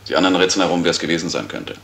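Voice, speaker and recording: An actor playing a wry, suspicious gentleman in a 1970s German radio crime drama, sardonic and probing. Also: sits low, rapid-fire, gravelly.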